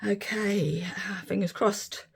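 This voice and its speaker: Husky, gravelly-voiced woman. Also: medium pitch, fairly even intonation, takes it slowly. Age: middle-aged. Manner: chatty, giggly, easily delighted. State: losing her voice. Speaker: resin art vlogger. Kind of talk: casual craft demonstration.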